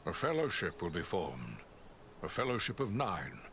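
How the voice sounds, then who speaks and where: An actor playing a wise old wizard in a fantasy game, grave and courteous, speaking in measured, theatrical declamation. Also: male voice, deep voice, very animated, fairly bright tone, a little hiss or hum, heavily acted. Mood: grave, explaining. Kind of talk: dramatic fantasy game acting.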